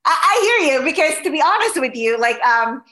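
Woman from the Philippines, podcast host, bubbly and chatty, with a bright tone. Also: high voice, sing-song, fairly quick. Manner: bubbly, chatty, many fillers. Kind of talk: casual interview.

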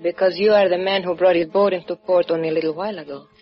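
A woman acting a scornful, mysterious Cuban lady in a 1950s radio play. She speaks with a Spanish accent in a formal, haughty, measured way, on a dull, lo-fi recording. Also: fairly low pitch, fairly even intonation, faint music behind, natural voice. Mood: coolly probing.

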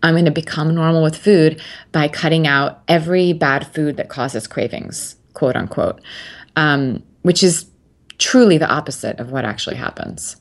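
A woman's voice: low-pitched, moderate pace. A woman in her twenties talking candidly as an interview guest, chatty and rambling with many fillers and restarts.

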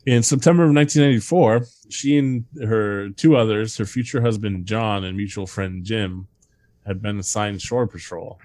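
Low-voiced man reading with jokes. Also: normal speed, darkish tone, no room sound. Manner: reads stories aloud, breaks off laughing and riffing. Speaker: podcast host reading a countdown list. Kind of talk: comedic reading with banter.

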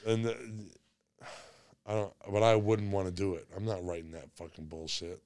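Young man, deep voice, crude rambling casual talk, dry recording. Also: normal speed, a little mellow, smooth, dry recording. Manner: profane, rambling, laughs easily. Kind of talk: casual podcast chat.

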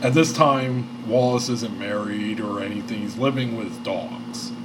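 A man's voice: lowish voice, a little slow, noisy background. A man in his thirties hosting a podcast, telling a story in a rambling, profane way with lots of fillers. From Michigan, United States. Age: 30s. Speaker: podcast host. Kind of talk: casual podcast chat.

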